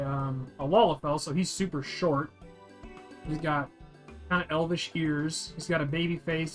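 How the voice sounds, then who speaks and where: A blunt man with a fairly high voice describes a video game in casual play-by-play. He swears freely as he goes, and game music plays behind him. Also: fairly bright tone.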